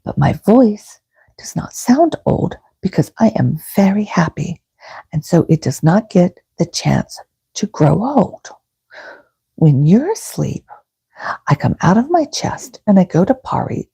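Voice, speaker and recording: Woman voicing a soft, breathy, kindly old witch. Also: on the low side, very animated, exaggerated voice. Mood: kindly, confiding. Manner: gentle, unhurried storybook delivery.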